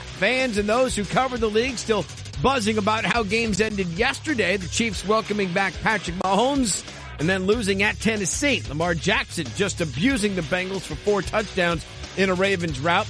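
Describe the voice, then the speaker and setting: A man anchoring a radio sports newscast with a brisk, crisp read. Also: high voice.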